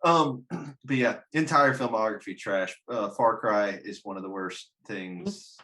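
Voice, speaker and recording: A man's voice: lowish voice, unhurried, sharp tone. A man hosting a casual film discussion, swearing freely, blunt and joking as he gives his opinions. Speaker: host of a film discussion show. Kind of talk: casual chat, movie commentary.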